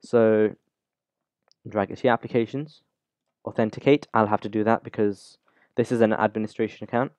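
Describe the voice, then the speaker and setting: A man hosting a casual, unscripted software tutorial, talking through the steps informally as he goes. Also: on the low side, steady tone, normal speed, long pauses, dry recording, dull audio. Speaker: YouTube tutorial host.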